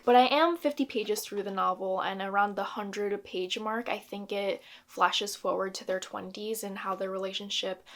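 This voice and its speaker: Chatty young woman vlogging at her desk. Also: medium pitch. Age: 20s. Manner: chatty, upbeat, earnest. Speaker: book vlogger. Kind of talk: casual vlog talk to camera. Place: small home office with bookshelves.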